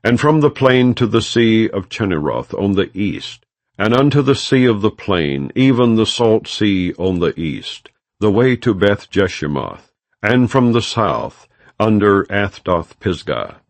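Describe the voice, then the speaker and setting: A man with a low voice narrates scripture as an audiobook. His phrasing is measured and solemn, yet his intonation moves widely within a plain, non-dramatized reading. The sound is dry and free of echo. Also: big pitch swings.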